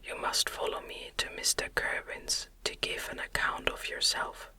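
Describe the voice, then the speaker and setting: Narrator doing a stern storybook man ordering a suspect along, whispered and bright. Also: normal speed. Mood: stern, accusing. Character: ill-looking, stern man summoning a suspect. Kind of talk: whispered ASMR audiobook dialogue.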